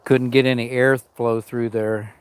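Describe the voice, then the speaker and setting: A man's voice: medium pitch, little pitch movement, unhurried, clean tone. Chatty man livestreaming from the cold woods. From Arizona. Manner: rambling, chatty, greets viewers by name. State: sniffling from a cold nose. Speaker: camping livestream host. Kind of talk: casual livestream chat greeting viewers. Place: outdoors in cold woods by a stove. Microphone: small mic worn on him.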